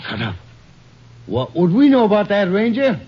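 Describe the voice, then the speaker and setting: An actor playing a cornered, defiant, whining bank robber in an old radio drama. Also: male voice, high-pitched, huge pitch swings, normal speed. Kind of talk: 1950s radio drama acting. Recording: muffled old radio recording.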